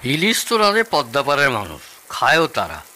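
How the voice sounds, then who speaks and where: A man's voice: mid-range voice, huge pitch swings, normal speed, pushed and bright, heavily acted. A man acting a boastful, food-loving middle-aged father-in-law with an East Bengali accent in an audio drama, theatrical and savouring. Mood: boastful, teasing.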